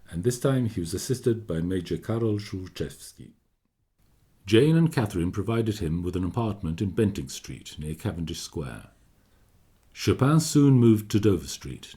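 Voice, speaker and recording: Radio play: 19th-century gentleman, formal and fond, very low voice, expressive swings in pitch. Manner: formal, measured, old-fashioned courtesy. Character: courteous Polish gentleman of 1849, friend of Chopin, reminiscing. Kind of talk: radio drama narration.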